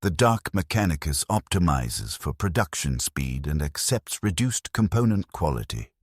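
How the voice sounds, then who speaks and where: Very deep, gravelly male story narrator. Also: big pitch swings, normal speed, dry recording, studio quality. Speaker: narrator of a science-fiction lore story. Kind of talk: scripted first-person dramatic audiobook narration.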